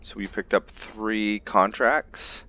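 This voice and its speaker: A man's voice: on the low side, steady tone, slow, bright, forceful tone, highs cut off. A man playing a roleplay game and thinking aloud, hesitant, with fillers. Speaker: gamer roleplaying his character. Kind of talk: casual gameplay roleplay commentary.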